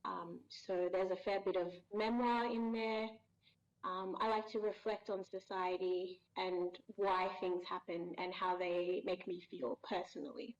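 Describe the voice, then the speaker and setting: A woman in her thirties, a voice actress, answering interview questions thoughtfully with many pauses and restarts. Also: fairly low pitch, steady tone, muffled recording. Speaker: voice actress guest.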